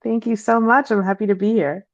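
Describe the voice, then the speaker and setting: A woman's voice: fairly quick, no echo. A young adult woman from Peru, speaking as an interview guest over a remote call, reflective and thoughtful, with frequent um fillers. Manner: reflective, many um fillers, rising pitch when animated. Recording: dull lo-fi call audio.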